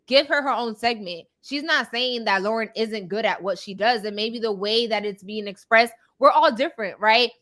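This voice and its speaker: A woman's voice: fairly high pitch, normal speed, few pauses, a bit bright. A woman co-hosting a podcast, giving her opinions in a direct, conversational way and often checking in with "right?". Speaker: podcast co-host. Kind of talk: casual opinion discussion.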